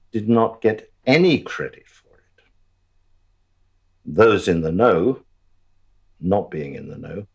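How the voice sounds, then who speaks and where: Man acting a husky, wry, slow narrator. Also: sits low, heavily acted. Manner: deadpan, wry asides. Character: wry male storyteller looking back on events. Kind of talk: scripted radio drama narration.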